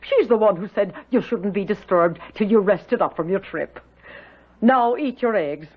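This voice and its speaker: A woman's voice: medium pitch, moderate pace, lightly acted. An actress playing a chatty, motherly Irish housekeeper in a 1970s radio drama, with folksy, lilting, gossipy talk. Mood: fussing, affectionate. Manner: folksy, voluble, lilting storytelling. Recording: dull lo-fi radio recording.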